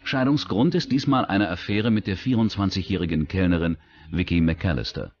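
A man's voice: deep voice, moderate pace, darkish tone, muffled recording. A man reading a scripted true-crime documentary as its narrator.

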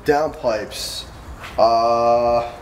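A man's voice: mid-range voice, fairly even intonation, extremely slow. A young man chatting casually in a car shop, joking and laid-back about car parts. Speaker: friend and car mechanic. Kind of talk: casual chat. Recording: roomy garage with clear noise.

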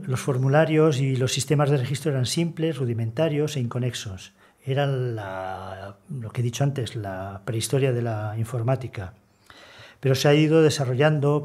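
An older man giving a measured, explanatory seminar talk on conservation, pausing often with hesitations, seated at a table in a conference room. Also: mid-range voice, darkish tone.

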